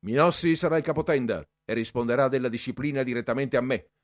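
A man's voice: fairly low pitch, moderate pace, darkish tone, dry recording, studio quality, lightly acted. A man voicing a stern, strict middle-aged male professor who scolds the boys severely. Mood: stern scolding.

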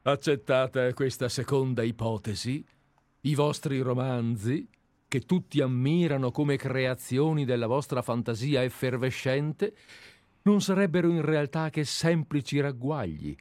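A man's voice: medium pitch, animated, a little slow, a little mellow, no echo. A man reading aloud as an obsessive, deferential admirer who has tracked a writer for years, in a dramatized radio play reading. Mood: reasoning. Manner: earnest, deferential, methodical. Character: obsessive, fawning male admirer-sleuth. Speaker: reader.

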